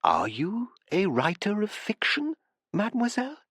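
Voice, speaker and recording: A man reading an audiobook, voicing a courteous, probing male professor who speaks softly and gently presses with questions. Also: high voice, wildly swooping pitch, moderate pace, slightly rough, no echo, studio quality, voice pushed up, heavily acted. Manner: soft, interested, courteous.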